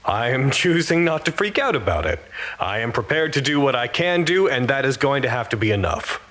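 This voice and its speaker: A man's voice: medium pitch, sing-song, fairly quick, a bit bright. A man playing an earnest, anxious facility worker who reports to command in a wordy, formal audio drama monologue. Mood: nervous, resolute.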